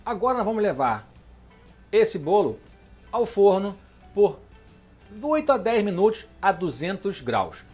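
A middle-aged man talks viewers through a recipe in his home kitchen, chatty and easygoing. His voice sits a little high for a man. Soft music plays underneath him. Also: sing-song, unhurried, long pauses. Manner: chatty, keeps asking "beleza?" and "tá?". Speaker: cooking show host. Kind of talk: casual home cooking demonstration.

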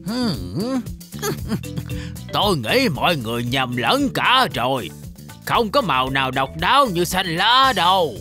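A man's voice: on the high side, big pitch swings, slow pace, pushed and bright, soft background music, heavily acted. An actor voicing a proud father shark in a Vietnamese children's cartoon dub. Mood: boastful, stubborn.

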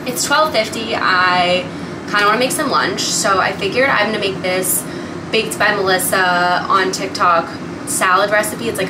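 A young woman in her twenties, talking casually to camera in a small home kitchen and filling her speech with "like". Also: medium pitch. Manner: chatty, many fillers like "like". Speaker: vlogger. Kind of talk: casual vlog chat. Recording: echoey room, noisy, mic at a distance.

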